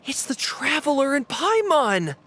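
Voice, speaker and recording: A woman's voice: sits high, sing-song, a little slow, a bit bright, a little hiss or hum, heavily acted. A woman voicing an eager, admiring youngster in an anime-style video game. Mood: surprised, eager.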